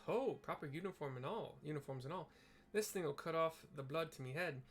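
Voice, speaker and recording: A man's voice: a little high, animated, fairly quick. A man voicing a folksy, good-natured fantasy game recruit with rustic, informal dialect phrasing. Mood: hearty, grumbling.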